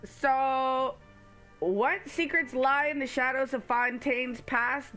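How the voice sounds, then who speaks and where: A woman's voice: high-pitched, pushed and bright, poor audio. A woman hosting a casual game livestream, chatting informally and speaking straight to her viewers. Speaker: game streamer, host. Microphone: headset.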